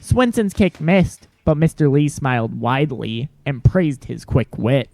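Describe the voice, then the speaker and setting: A man's voice: mid-range voice, lively intonation, unhurried, vocal fry, a little mellow, no room sound. A man narrating a scripted audio drama in the third person.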